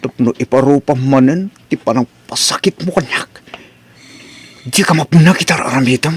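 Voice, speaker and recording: An actor plays a hurt, scornful husband with a husky voice of medium pitch. His Ilocano-accented delivery is curt and accusatory, in the style of radio melodrama. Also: moderate pace, lightly acted. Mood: bitter, accusing.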